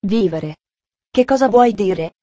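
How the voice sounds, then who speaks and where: A woman's voice: mid-range voice, lively intonation, slow, warm tone, lo-fi sound. A woman voicing a strict middle-aged aunt who speaks in a dry, severe, commanding way, in a storybook reading. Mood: stern.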